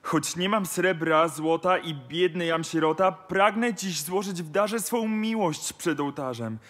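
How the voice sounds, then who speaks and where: A man's voice: a little high, measured pace, fairly bright tone. A man playing a humble, devoted poor young suitor in a rhymed musical fairy tale. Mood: earnest pleading. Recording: music under the voice.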